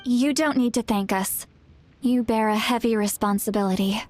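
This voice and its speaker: A woman's voice: fairly high pitch, moderate pace, darkish tone, soft background music, close to an everyday voice. An actress playing a composed, respectful female leader in a fantasy game cutscene, earnest and formal. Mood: sincere gratitude. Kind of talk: dramatic game cutscene acting.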